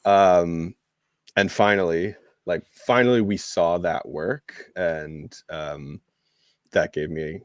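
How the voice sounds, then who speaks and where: Low-voiced man, wry sports podcaster. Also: steady tone, takes it slowly, dry recording, clean studio sound. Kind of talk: casual soccer chat.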